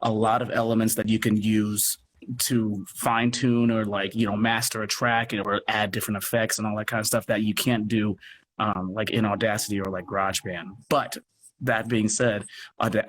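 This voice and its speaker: Low-voiced man with background noise, chatting earnestly. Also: little pitch movement, normal speed. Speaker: podcast producer and guest. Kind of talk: casual online interview chat. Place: live social media video call.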